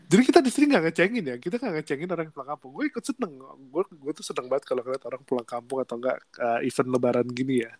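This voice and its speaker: A man's voice: mid-range voice, racing. A young man with a Jakarta accent, co-hosting a podcast in casual chat, his voice a little nasal from a cold and full of fillers. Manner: relaxed slangy Jakarta chatter with frequent fillers. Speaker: podcast co-host.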